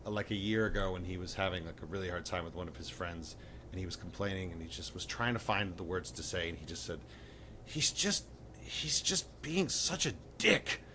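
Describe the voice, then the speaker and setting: A man's voice: sits low, lively intonation, fairly quick, bright, forceful tone, a little hiss or hum. A middle-aged man comedian on a casual comedic panel, talking in a rambling, hesitant way with dry wit.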